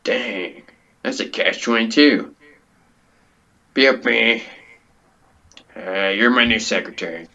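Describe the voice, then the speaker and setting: A man plays a blunt, dim-witted comedy host with a low but bright, forceful voice. He is impatient and exclamatory, brushing people off. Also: slow pace, creaky, long pauses, heavily acted.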